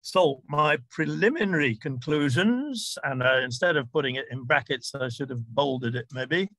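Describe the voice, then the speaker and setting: A man giving an academic lecture in a measured, explanatory way, with hesitant pauses and informal asides. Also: mid-range voice, lively intonation, a bit bright, dry recording. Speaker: university lecturer.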